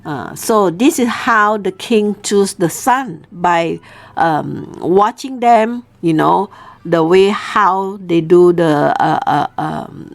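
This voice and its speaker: A woman's voice: lowish voice, gravelly. A woman tells a story as an informal lesson, explaining didactically and often checking in with "okay?". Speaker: storytelling teacher and host.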